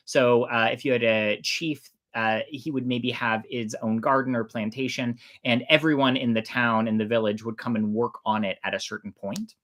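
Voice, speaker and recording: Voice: medium pitch, fairly bright tone. An enthusiastic, emphatic host of indeterminate gender telling stories and explaining things in a casual way. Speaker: podcast host and storyteller.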